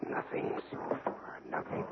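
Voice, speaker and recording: An American actor playing a dogged, questioning investigator in a radio drama, probing and sometimes hesitant. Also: male voice, unhurried, natural voice. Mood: muttering, searching. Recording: muffled, hissy old radio broadcast.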